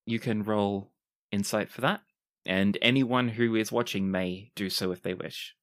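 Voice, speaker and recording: A man's voice: fairly low pitch, steady tone, unhurried, fairly bright tone. A man improvising narration as the game master of a tabletop roleplaying podcast.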